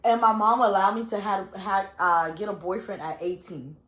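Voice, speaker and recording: A woman's voice: mid-range voice, normal speed, a bit bright, reverberant. A woman from Liberia co-hosting a casual chat. She tells stories expressively and breaks into laughter. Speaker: co-host.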